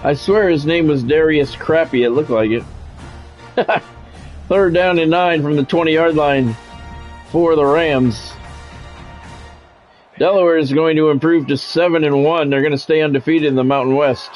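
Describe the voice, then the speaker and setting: A man's voice: a little high, measured pace, pauses often, darkish tone, lo-fi sound, faint music behind. A man doing sports play-by-play commentary in short announcer-style phrases, mixed with casual chat to his viewers. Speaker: live streamer and play-by-play commentator.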